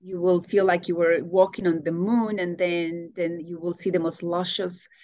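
A woman, answering interview questions warmly and pausing to search for words. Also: lowish voice, little pitch movement, no echo, dull audio. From Colombia. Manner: warm, searching for words, laughs often. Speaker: guest.

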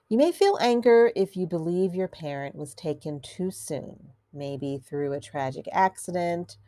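A woman who is a counselor hosting a grief podcast, talking gently and in a measured way, a little stuffy from allergies. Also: on the low side, no echo, studio quality. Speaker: podcast host and licensed counselor. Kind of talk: solo podcast talk.

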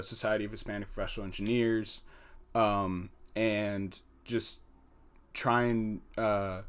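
Young American male student guest, lowish voice, earnest and halting. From United States. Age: young adult. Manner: hesitant, pauses often with fillers, earnest. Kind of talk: podcast interview answer.

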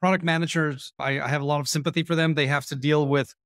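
A man's voice: on the high side, fairly even intonation, fast talker, a bit bright, no echo, studio quality. A man in his forties with an Israeli accent, a podcast guest telling his career story in a candid, self-deprecating way. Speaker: guest, marketing executive. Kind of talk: podcast interview.

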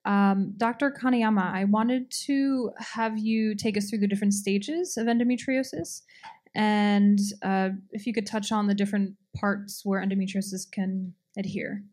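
Warm-toned woman moderating a panel. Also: mid-range voice, steady tone, normal speed. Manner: polite, appreciative. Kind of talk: panel discussion.

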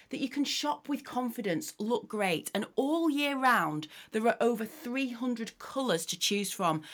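A middle-aged woman hosting a podcast, chatting in an encouraging way with small restarts. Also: mid-range voice. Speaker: podcast host. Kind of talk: casual podcast chat.

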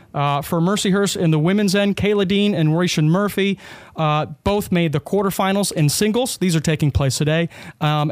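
Radio guy, highish voice, dry mic, jokes around, trips over words a bit. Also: no echo. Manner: quick, joking, repeats words when starting. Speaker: sports talk co-host. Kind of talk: casual sports talk chat.

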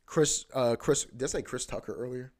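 A man's voice: medium pitch, normal speed. Rambling man saying bro constantly. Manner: rambling, repeats himself, says "bro" a lot, swears. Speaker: podcast host. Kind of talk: casual rambling chat.

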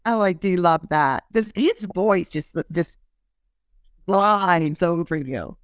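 A woman's voice: lowish voice, slow pace, clear, resonant tone, no room sound, clean studio sound. A woman hosting a podcast in casual interview chat, talking loosely with many ums and fillers. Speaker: podcast host.

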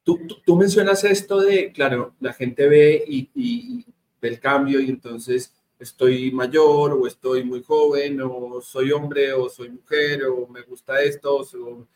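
A man's voice: medium pitch, a little slow, darkish tone, low-quality recording. A man with a Colombian accent hosting a conversational interview, speaking emphatically and directly to his guest and audience. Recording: noticeable background noise.

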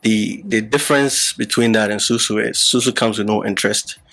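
Low gravelly guy on a podcast, lots of ums and restarts, explaining stuff. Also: steady tone, fairly bright tone, rough recording. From Ghana. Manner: halting, many fillers and restarts. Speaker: podcast guest, app founder. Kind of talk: casual explanatory interview talk.

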